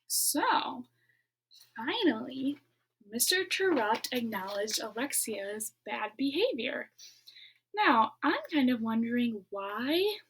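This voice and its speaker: A woman reading a children's novel aloud as a teacher, expressive and adding her own asides between passages. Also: fairly high pitch, takes it slowly, husky, rough recording. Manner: expressive, with asides and quiet whispered beats.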